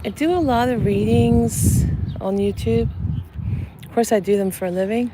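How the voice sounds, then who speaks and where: A soft-spoken woman, a psychic medium, chatting gently in a live stream while she walks through a cemetery among blossoming cherry trees. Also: on the high side, moderate pace. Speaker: psychic medium and channel host. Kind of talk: casual live-stream chat while walking. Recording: outdoor live stream with clear ambient noise. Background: birds and passing traffic.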